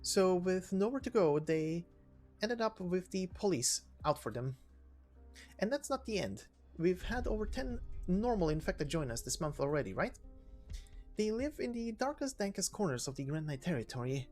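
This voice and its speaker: A woman's voice: on the low side, big pitch swings, moderate pace, darkish tone, faint music behind. A woman voicing a serious, troubled female former knight, a loyal aide who speaks earnestly in a game story. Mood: concerned.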